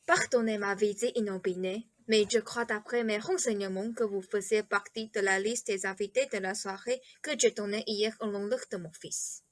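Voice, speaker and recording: A woman's voice: mid-range voice, fairly even intonation, normal speed, few pauses, no echo, lightly acted. A woman playing a courteous, eccentric king and doting father, speaking formally and over-politely in a radio play. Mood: courteous, confiding. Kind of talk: theatrical radio play acting.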